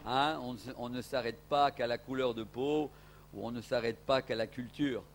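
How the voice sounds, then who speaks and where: A man's voice: mid-range voice, steady tone, moderate pace. A man from Portugal preaching a sermon as an evangelist in a church, emphatic, with rising exclamations.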